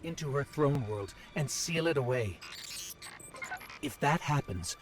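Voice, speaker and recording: Actor as a helpful little robot sidekick, earnest, mid-pitched, game music behind. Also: male voice, expressive, measured pace, close to an everyday voice.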